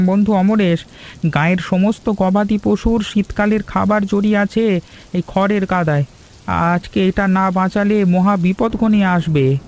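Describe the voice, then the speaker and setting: A middle-aged village uncle from an animated story, played by a man, speaks in a high voice. He is earnest and effusive, a loyal, grateful friend with an excitable streak. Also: a little slow. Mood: worried, grateful. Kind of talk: animated cartoon story acting.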